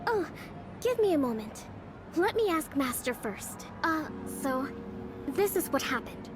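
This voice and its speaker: A woman playing a polite, earnest young female servant in an anime game, speaking in a courteous, gentle, deferential way. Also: sits high, big pitch swings, measured pace, background noise. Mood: puzzled, careful. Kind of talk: video game character acting. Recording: music behind the voice.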